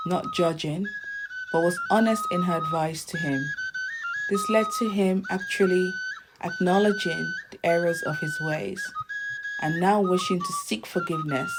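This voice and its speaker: A woman reading a scripted folktale as a storyteller, in a measured storybook delivery. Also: on the low side, rough recording.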